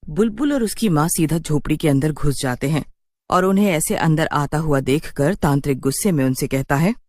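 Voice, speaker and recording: A woman narrates a scripted animated horror tale. Her voice sits quite low but moves around a lot in pitch, and the sound is dry and close. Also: normal speed, no room sound. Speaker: story narrator.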